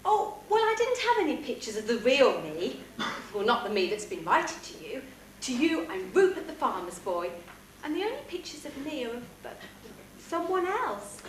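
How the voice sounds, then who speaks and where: High-voiced pantomime principal boy played by a woman. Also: big pitch swings, moderate pace. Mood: earnest. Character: cheerful, modest young prince posing as farm boy. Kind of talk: pantomime stage acting. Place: theatre stage. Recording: echoey hall from far off, noisy.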